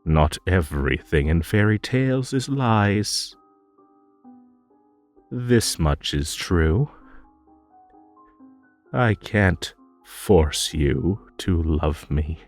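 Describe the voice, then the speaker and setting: A sweetly menacing, possessive magical bunny played by a man speaks in a deep male voice. The delivery crawls along, coaxing and soothing like a hypnotist's. It is an intimate audio roleplay with soft music underneath. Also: animated, extremely slow, pauses often, exaggerated voice. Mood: wistful, pleading.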